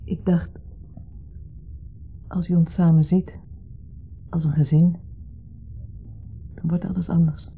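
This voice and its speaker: A woman playing a conciliatory wife in a 1970s radio play, placating and earnest, sometimes hushed. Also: lowish voice, level tone, slow, vocal fry, long pauses, husky, exaggerated voice. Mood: apologetic, pleading. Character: conciliatory wife caught between husband and ex. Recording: muffled phone-like old radio recording.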